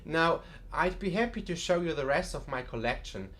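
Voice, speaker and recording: A man's voice: fairly high pitch, expressive, moderate pace, fairly bright tone. A man playing a genial, wry museum curator in an audiobook drama, chatty and dryly joking with a macabre humour. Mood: wryly amused.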